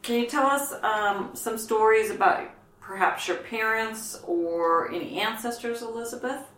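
A woman interviewer asking gentle, hesitant questions in an oral history interview at an interviewee's home. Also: on the high side, measured pace. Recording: roomy, from far off.

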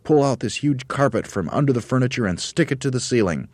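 A man voicing an excitable, bossy monkey leader in a storybook, shouting urgent commands. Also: medium pitch, fast talker, no room sound, voice pushed up, close to an everyday voice. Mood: irritated, shouting.

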